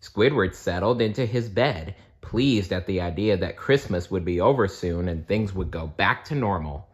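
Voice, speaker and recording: A man reading a children's storybook aloud as narrator, in an expressive, dramatic storytelling manner. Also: low voice, normal speed, muffled recording. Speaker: storybook reader.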